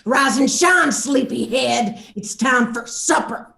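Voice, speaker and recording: A woman's voice: sits high, lively intonation, takes it slowly, vocal fry, pushed and bright, husky, voice pushed up, exaggerated voice. A woman actor voicing a game villain, a crazed Southern mother who is syrupy sweet and viciously mean. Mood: shrieking, vicious.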